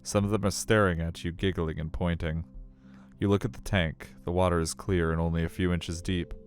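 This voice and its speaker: A man's voice: low-pitched, level tone, normal speed, faint music behind. A man reading a visual novel aloud as dramatic, suspenseful story narration.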